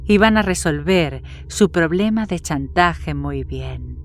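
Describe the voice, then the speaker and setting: A woman's voice: low voice, animated, takes it slowly, slight background noise. A woman reading a horror story as narrator, in scripted literary narration. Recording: music behind the voice.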